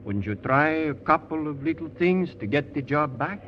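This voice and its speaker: An actor playing a gruff, folksy older male tunnel worker, in 1940s radio style. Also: fairly high pitch, lively intonation, moderate pace, close to an everyday voice. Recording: dull, faintly hissy old radio recording.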